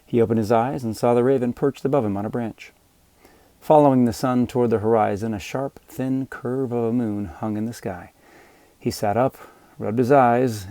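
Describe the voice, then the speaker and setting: A man narrating scripted fiction as an audiobook reader, with measured, dramatic storytelling. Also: on the low side, dark tone, no room sound.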